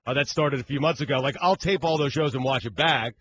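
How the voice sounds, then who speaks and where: A man's voice: medium pitch, quick pace, highs cut off, noisy background. A man co-hosting a sports radio show, telling rambling, joking stories in casual chat. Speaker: radio co-host.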